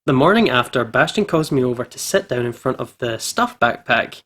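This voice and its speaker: A man narrating a visual novel in an expressive way, as a playful gaming YouTuber. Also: mid-range voice, normal speed.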